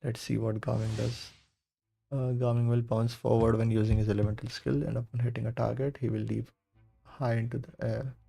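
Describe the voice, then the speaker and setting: A man's voice: medium pitch, little pitch movement, a little fast, creaky, warm tone, husky, soft background music. A man giving casual live game commentary, offhand and half talking to himself as he plays. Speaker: game streamer.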